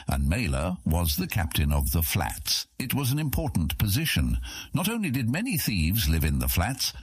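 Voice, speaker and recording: A man reading scripted fantasy narration as an audiobook narrator, with a deep, husky voice. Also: dry recording, studio quality.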